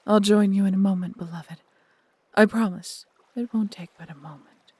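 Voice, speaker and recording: A woman voicing a huge, proud, protective female dragon in a fantasy audio roleplay, with breathy, husky delivery and tender, formal, theatrical phrasing. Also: medium pitch, fairly even intonation, moderate pace, a little mellow, dry recording, close to an everyday voice.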